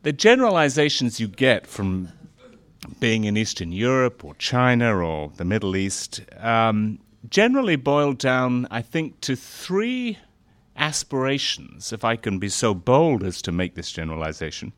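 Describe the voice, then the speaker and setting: A middle-aged man and former foreign correspondent speaking to a gathering, halting with fillers at first, then growing more animated. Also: medium pitch, no room sound. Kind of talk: speech to an audience.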